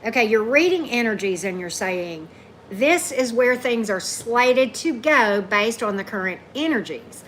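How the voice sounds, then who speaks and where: Deep-voiced woman chatting on a livestream. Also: expressive, unhurried, a bit bright, a little hiss or hum. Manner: chatty, keeps asking "right?", greets commenters by name. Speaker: tarot reader hosting a livestream. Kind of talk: casual livestream chat with viewers.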